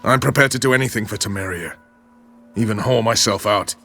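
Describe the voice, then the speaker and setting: An actor playing a blunt, patriotic soldier captain in a game, speaking in a brusque, decisive way. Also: male voice, on the low side, fairly quick, bright, forceful tone, soft background music. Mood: resolute. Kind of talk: cinematic game voice acting.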